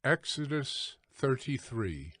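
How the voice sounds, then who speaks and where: Slow, solemn male scripture narrator. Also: mid-range voice, big pitch swings, fairly bright tone, no room sound, clean studio sound. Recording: music behind the voice.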